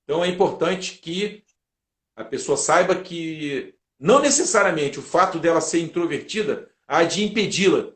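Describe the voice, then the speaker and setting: A man's voice: on the high side, expressive, normal speed, fairly bright tone. A Brazilian man answering as an interview guest, explaining methodically like a teacher and building his points in lists. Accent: Brazilian Portuguese. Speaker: guest, public speaking teacher and lawyer. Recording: roomy, echoing sound.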